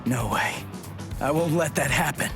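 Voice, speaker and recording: An actor playing an earnest, defiant young hero in an anime game dub, strained and shaken. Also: male voice, a little high, normal speed, creaky, over music.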